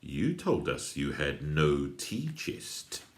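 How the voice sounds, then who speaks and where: A crooked policeman from a children's storybook, acted out by a male reader, low in pitch and menacing. He snarls and sneers like a bully, in a roomy space with audible echo. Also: huge pitch swings, takes it slowly, heavily acted. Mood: sneering.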